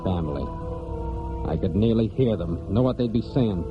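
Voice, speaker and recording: An American actor playing a disgraced, brooding prodigal son who narrates in halting, clipped phrases in a 1940s radio drama. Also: male voice, on the low side, lively intonation, close to an everyday voice. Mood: wistful, bitter. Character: disgraced prodigal son of a hard New England family, brooding. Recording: dull 1949 radio recording, music behind.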